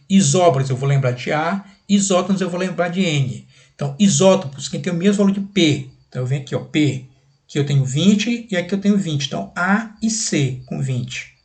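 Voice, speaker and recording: A man's voice: sits high, darkish tone. A man with a Brazilian accent teaching a recorded lesson, explaining exercises step by step with repeated steps and frequent check-in questions. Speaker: chemistry teacher.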